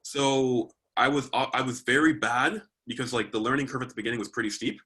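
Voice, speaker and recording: A man from Canada talks as an interview guest with a bright, forward tone. He is thoughtful and often pauses on ums before going on. His pitch sits in a middle range for a man. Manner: thoughtful, hesitant with frequent ums. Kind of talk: casual interview chat.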